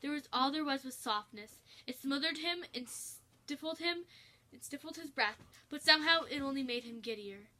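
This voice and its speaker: Bright, high-voiced woman reading a story. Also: normal speed. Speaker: fan fiction narrator. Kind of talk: reading a comic story aloud.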